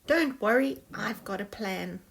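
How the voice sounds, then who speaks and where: A woman's voice: medium pitch, animated, unhurried, vocal fry. A woman voicing a tiny, helpful, upbeat fish friend in a storybook, encouraging and reassuring. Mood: encouraging, confident.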